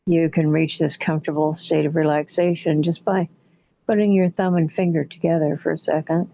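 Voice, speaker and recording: A woman with a low, mellow voice leads a guided imagery session. She speaks very slowly and soothingly in short phrases, with long pauses between them. The recording is dull and somewhat muffled. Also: steady tone, gravelly. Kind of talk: guided relaxation meditation.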